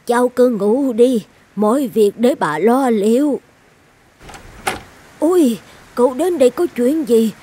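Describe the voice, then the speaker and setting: Kindly old village midwife, high-voiced. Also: female voice, expressive, slow, pauses often, dark tone, slightly rough, dry recording, heavily acted. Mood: gently firm. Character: kind, selfless elderly village midwife and grandmother. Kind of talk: animated folktale dubbing.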